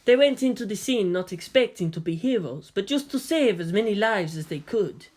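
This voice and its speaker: A man's voice: sits high, animated, normal speed, darkish tone. A man voicing an uneasy, sceptical army sergeant in his forties, questioning and reflective, in a storybook reading.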